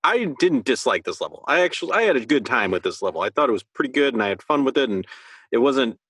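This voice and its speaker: A man's voice: medium pitch, big pitch swings, fast, fairly bright tone, husky, no room sound. A man co-hosting a gaming podcast in casual, chatty banter. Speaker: podcast co-host.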